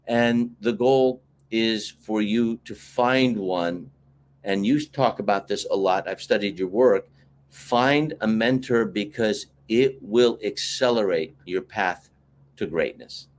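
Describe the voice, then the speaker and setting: A man's voice: medium pitch, takes it slowly, a little hiss or hum. An American man, a marketing teacher, talking as a guest in a podcast interview and telling stories in a reflective way. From United States.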